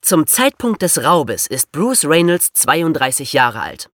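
A man's voice: fairly high pitch, sing-song, a little slow, fairly bright tone, no room sound, clean studio sound. A young man playing an eager, playful podcast co-host who tells crime stories dramatically in a scripted children's show. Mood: dramatic.

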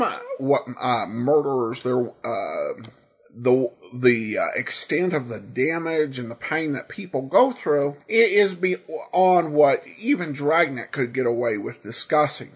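Podcast host, husky voice, animated pitch, halting commentary, frequent hesitant pauses. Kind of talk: podcast commentary on old radio shows and listener feedback.

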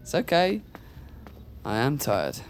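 An actor playing a tired but teasing, easygoing uncle in a naturalistic audio drama. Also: male voice, mid-range voice, wildly swooping pitch, takes it slowly, slight background noise, heavily acted. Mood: tired, awkward.

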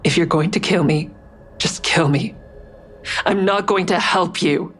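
A woman's voice: fairly low pitch, measured pace, husky. An actress in an audio drama playing an exhausted, defiant woman who tells of her ordeal in a grim, blunt way. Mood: desperate, defiant. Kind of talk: first-person dramatic narration in audio drama.

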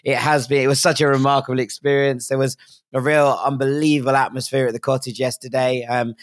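A hoarse man hosting a casual football podcast, chatty and enthusiastic with frequent ums. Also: mid-range voice, level tone, fairly quick, no echo, clean studio sound. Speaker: podcast host.

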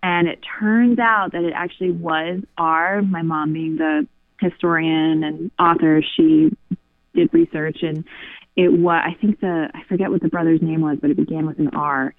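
A woman's voice: low voice, steady tone, moderate pace, no echo. A woman caller telling a story casually over a phone line. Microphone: phone call. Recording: narrow tinny phone line.

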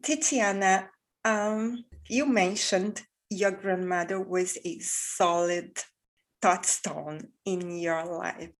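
A woman's voice: on the low side, slow pace, poor audio. A woman hosting an interview, asking careful questions with some hesitations. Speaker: interviewer host.

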